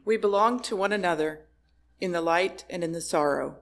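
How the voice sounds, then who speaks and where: A lay reader giving a solemn, scripted liturgical reading in a measured, deliberate manner. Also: medium pitch, fairly bright tone. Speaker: congregant reading chalice lighting words.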